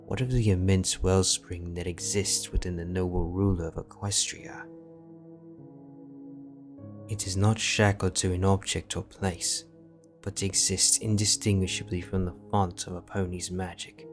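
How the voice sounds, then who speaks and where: Deep, flat-voiced male narrator. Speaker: audiobook narrator. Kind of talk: scripted fanfiction narration. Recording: soft background music.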